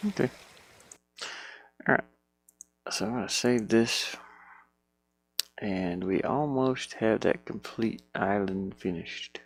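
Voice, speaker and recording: Male game dev, lowish pitch, very unhurried, casual think-aloud in muttered fragments. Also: creaky, a little hiss or hum, poor audio. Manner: muttering to himself in short fragments. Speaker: indie game developer.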